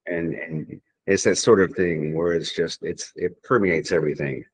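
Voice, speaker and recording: Man, very low voice, rambling podcast guest who keeps restarting his sentences. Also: steady tone, moderate pace, husky. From Tennessee, USA. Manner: rambling, hesitant, with many restarts and fillers. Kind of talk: casual podcast chat.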